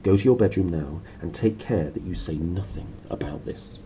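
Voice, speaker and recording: A man's voice: deep voice, moderate pace, mellow, slight background noise, natural voice, low-quality recording. A man voicing a curt, secretive lord and uncle in a storybook, giving terse orders. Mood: urgent.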